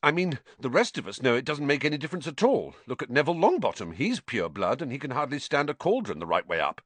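A man reading an audiobook, voicing a hoarse, weak schoolboy. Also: mid-range voice, sing-song, fast, fairly bright tone, no echo, voice pushed up. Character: boy, sick schoolboy coughing up slugs.

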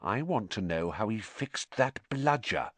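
A man's voice: fairly high pitch, wildly swooping pitch, brisk, a bit bright, breathy edge, no echo, pitched above the performer's own voice, heavily acted. A man voicing an earnest, clever teenage girl wizard.

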